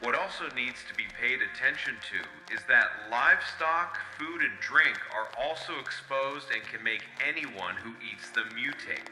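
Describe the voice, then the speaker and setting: A sober male researcher played by a man reports in a mid-pitched voice drenched in long, hall-like echo. The delivery is formal and explanatory, a scripted analog horror bulletin with music clearly behind it. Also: lively intonation, unhurried, few pauses, sharp tone. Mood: sober, ominous. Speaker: researcher announcer. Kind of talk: scripted informational broadcast narration. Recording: echoey hall-like reverb.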